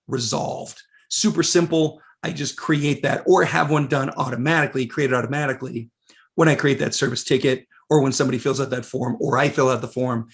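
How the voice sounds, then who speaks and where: Raspy man losing his voice. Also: medium pitch. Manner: casual, many fillers, reads out viewer questions. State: losing his voice, clearing throat. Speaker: webinar presenter. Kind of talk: live software webinar walkthrough.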